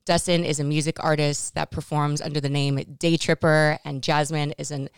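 A woman's voice: deep voice, level tone, moderate pace, clear, resonant tone, no room sound, clean studio sound. A woman from California hosting a podcast interview, chatty and upbeat with frequent restarts. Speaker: podcast host.

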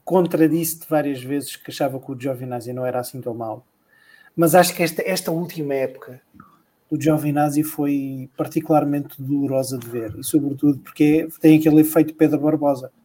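A man's voice: on the high side, steady tone, fairly quick, warm tone, breathy edge. A man co-hosting a casual podcast, rambling and thinking aloud with many repeats. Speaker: podcast co-host. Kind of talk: casual podcast chat about motor racing.

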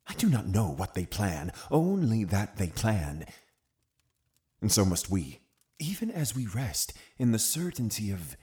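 An actor playing a cunning, zealous Puritan uncle in an audio drama, commanding and condescending with deliberate phrasing. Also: male voice, low-pitched, a little mellow. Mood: stern, urgent. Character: cunning, zealous Puritan uncle, a menacing witch-hunting authority. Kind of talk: full-cast audio drama acting.

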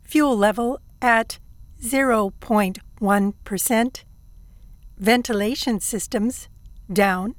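A woman playing a calm, dutiful ship computer in an audio drama, reporting in a measured, formal, emotionless way. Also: a little high, dry recording. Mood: impassive.

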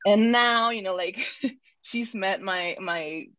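A woman's voice: medium pitch, takes it slowly, a bit bright, no echo, dull audio. A woman from Mexico, a podcast guest, telling a personal story in a casual, chatty way full of restarts.

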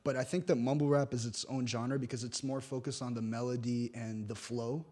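A man's voice: medium pitch, fairly even intonation, fairly quick, a little mellow. A man from Lebanon, a guest rapper, chatting casually and easily with lots of fillers. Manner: easygoing, lots of "like" and "you know". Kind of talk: casual podcast chat.

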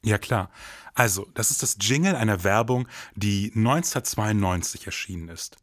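Low-voiced man co-hosting a podcast. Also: moderate pace, a bit bright, dry recording, studio quality. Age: 40s. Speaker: podcast co-host. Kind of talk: podcast conversation with scripted narration.

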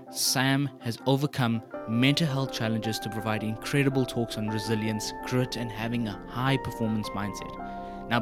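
A male podcast host with a lowish voice opens with a scripted welcome, faint music running underneath. He then shifts into gushing, complimentary questions, stumbling a little over his words. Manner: effusive, flattering, with hesitations. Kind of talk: scripted intro then casual interview.

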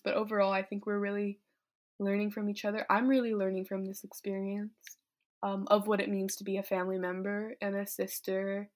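A fifteen-year-old young woman from Vietnam reflects casually and candidly on her life, thoughtful, often dropping in "like" and "you know". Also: medium pitch. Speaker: teenage climate activist.

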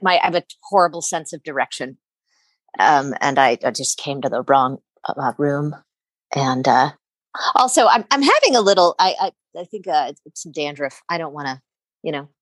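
A woman's voice: deep voice, no room sound. A woman playing an awkward, apologetic wizard student in improvised comedy, stammering and over-polite. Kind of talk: improvised comedy sketch acting.

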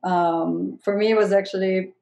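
A woman from Switzerland and the Netherlands speaks as a podcast guest with a mellow, warm tone. She sounds reflective and soft-spoken. The room gives her voice a clear echo. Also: mid-range voice, steady tone, normal speed. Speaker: guest, coach and educator. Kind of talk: casual conversational interview. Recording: roomy echo.

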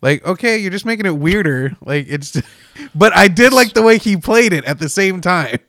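A man's voice: high-pitched, expressive, moderate pace, a bit bright, no echo. A man co-hosting a casual film discussion, talking informally and hesitantly with a wry manner. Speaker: podcast co-host.

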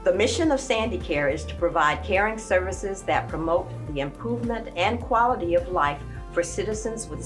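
A woman reads a formal scripted statement as an administrator. Her voice sits a little low, and music plays clearly behind it. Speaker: care company administrator.

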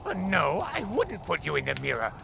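An actor playing a fussy, flustered lore master in a storybook audio drama, muttering theatrically to a pet owl. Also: male voice, on the high side, huge pitch swings, normal speed, creaky, background noise, heavily acted. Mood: exasperated. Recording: music under the voice.

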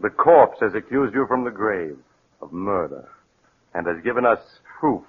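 A shrewd accusing doctor, lively pitch. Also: male voice, breathy edge. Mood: triumphant accusation. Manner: deliberate, probing, dramatic pauses. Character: shrewd, accusing police doctor, calm and relentless. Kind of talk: 1940s radio drama acting. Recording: dull old radio broadcast.

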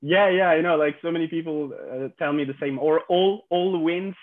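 A man in his twenties with a French accent, a podcast guest telling his story in a halting way, with many fillers and restarts. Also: a little high, phone-quality sound. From France. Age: 20s. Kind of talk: casual interview storytelling.

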